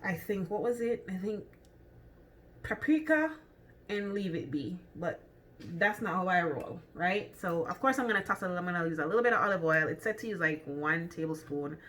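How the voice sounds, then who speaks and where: A woman's voice: lowish voice, lively intonation, moderate pace, fairly bright tone, slight background noise. A woman with a Jamaican accent chatting casually through a cooking demonstration in her home kitchen, thinking aloud with lots of ums and restarts. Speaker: cooking vlogger.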